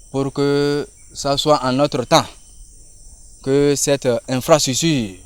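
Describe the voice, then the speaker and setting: A man, a local official giving a public speech in halting, measured phrases. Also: mid-range voice, clear, resonant tone, no room sound.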